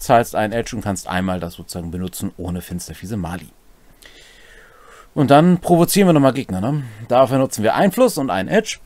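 A man's voice: mid-range voice, a little mellow, no echo. A man in his forties casually explaining game rules as a co-host, with dry, playful summaries in plain words. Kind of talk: casual rules walkthrough with joking asides. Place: small home room, indoors.

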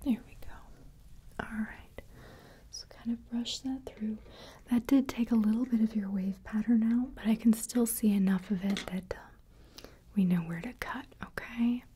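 A woman whispering softly and breathily in an ASMR roleplay as a gentle, reassuring hair stylist chatting with her client, close to the microphone. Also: a little high, unhurried. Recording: faint noise, small mic bumps and clicks.

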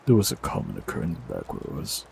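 Man, very low voice, gravelly, calm and gentle, fantasy audio roleplay, faint hiss. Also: fast talker. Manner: calm, gentle, softly teasing. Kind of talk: scripted fantasy roleplay, warlock character.

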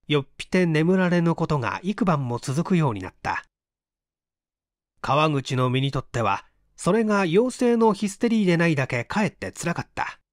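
A man narrating a literary mystery tale in a measured, dramatic storytelling manner with deliberate pauses. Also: a little high, no echo, studio quality. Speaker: audiobook reader, stage actor.

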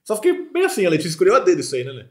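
Fast-talking Brazilian man, lively host. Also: high-pitched. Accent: Brazilian Portuguese. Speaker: food-tasting show host. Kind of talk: casual banter while tasting food. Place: small studio at a table. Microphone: desk microphone between two speakers.

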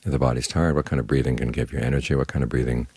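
The very low, husky man you would hear at a morning meditation sitting, calmly guiding everyone in plain words, close and dry in the ear. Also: racing, dry recording. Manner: calm, instructive, plain-spoken. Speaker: Buddhist monk, meditation teacher. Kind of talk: short spoken Dhamma talk guiding meditation.

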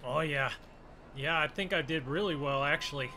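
Excitable man gaming over loud engine noise. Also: on the high side, normal speed. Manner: excitable, exclamatory, slangy. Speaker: gaming video creator role-playing a driver. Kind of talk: improvised gaming roleplay chat.

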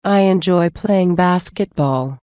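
Slow, low-voiced woman reading phrases. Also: mellow, clear, resonant tone, no echo, studio quality. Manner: measured, repeats each phrase slowly. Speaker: English teacher reading example phrases.

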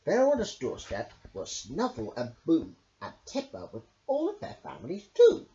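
A man narrating a children's picture book as storyteller, with a theatrical, drawn-out delivery. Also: mid-range voice, huge pitch swings, mellow. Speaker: storyteller, channel host. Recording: dull lo-fi home recording.

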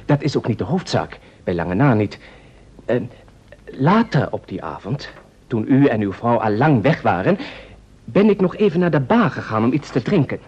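An actor in an old radio play, playing a flustered, apologetic gentleman who talks in a hesitant, fussy, polite way. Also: medium pitch, very animated, normal speed, breathy edge. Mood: puzzled, excited. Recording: muffled vintage radio recording.

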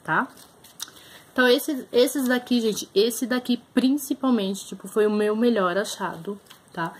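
A woman's voice: a little high, measured pace, husky. Chatty Brazilian woman showing thrift finds. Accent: Brazilian Portuguese. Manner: chatty, shows items up close, many fillers. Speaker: vlogger. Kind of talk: casual haul video chat. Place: small room indoors.

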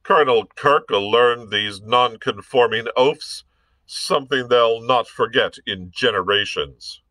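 A man's voice: medium pitch, a little slow, no room sound, pitched above the performer's own voice, low-quality recording. A man reading aloud, putting on a heavy, hectoring voice for a blustering, bullying dragoon captain. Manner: loud, sneering.

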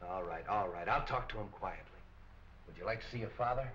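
Hard-nosed, sharp-edged police captain. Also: male voice, fairly low pitch, brisk. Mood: gruff. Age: middle-aged. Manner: gruff, badgering, clipped. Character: hard-nosed, browbeating middle-aged male police captain. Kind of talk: 1940s crime film acting. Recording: muffled, hissy 1940 film soundtrack.

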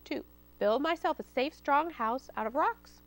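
A woman's voice: high-pitched, lively intonation, unhurried, fairly bright tone, exaggerated voice. A woman voicing a plucky young female piglet in a children's story, bold, sassy and confident. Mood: confident, eager.

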